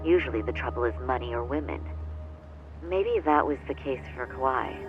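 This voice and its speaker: An actress playing a poised, earnest young attorney in an English-dubbed crime game, speaking in an articulate, formal and firm way. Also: female voice, rumbling low, animated, normal speed, lo-fi sound, over music. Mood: measured, businesslike.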